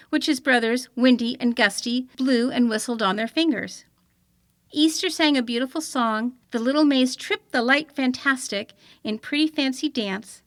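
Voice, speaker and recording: A woman reading an old-fashioned children's story aloud in an expressive storytelling manner. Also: sits high, no echo, studio quality. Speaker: storybook reader.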